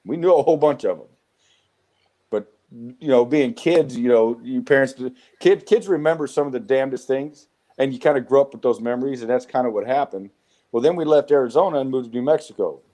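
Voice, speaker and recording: A man in his forties with a Southwest American accent, telling rough, profane stories of his youth as an interview guest. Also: mid-range voice, a little fast, warm tone. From United States. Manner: rough-spoken, swearing, frequent restarts. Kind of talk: casual storytelling interview.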